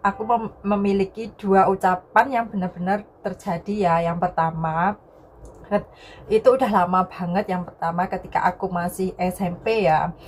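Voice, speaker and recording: A young woman from Indonesia tells a personal story in a casual vlogging style. Her voice sits at a medium pitch, and she often circles back to rephrase what she has just said. Some background noise runs under the recording. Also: moderate pace. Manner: repeats and rephrases phrases, often tagging sentences with "ya".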